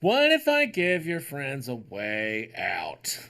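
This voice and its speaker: A man's voice: medium pitch, wildly swooping pitch, slow pace, creaky, a bit bright, pitched below the performer's own voice, heavily acted. A man voicing a scheming, menacing villain prince in a hammy way, in a comic script read. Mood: scheming, coaxing. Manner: hammy, shifting accents.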